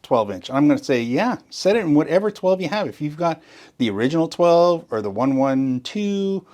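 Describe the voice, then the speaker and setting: A man's voice: fairly high pitch, sing-song, normal speed, darkish tone. A man walking viewers through a sound system setup in a step-by-step tutorial, enthusiastic and explanatory, often stressing what is very important. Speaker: gear demonstrator. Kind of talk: tutorial walkthrough.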